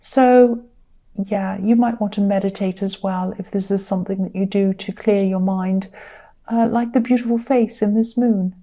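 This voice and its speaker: Mellow, slightly croaky, tired woman. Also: mid-range voice, steady tone, normal speed. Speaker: tarot reader. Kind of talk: conversational tarot reading to viewers.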